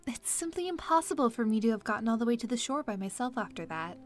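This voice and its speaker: A woman's voice: fairly high pitch, very animated, fast, soft background music. A woman playing a kind, earnest young princess in an audio drama. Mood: wistful, earnest.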